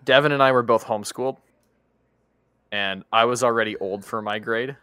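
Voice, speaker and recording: A 22-year-old man co-hosts a podcast in a lively, chatty way, stopping now and then to correct himself. His voice sits at a medium pitch. The recording is dry and close. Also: normal speed, clean tone, no echo. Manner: animated, chatty, self-correcting. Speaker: podcast co-host. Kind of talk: casual chat.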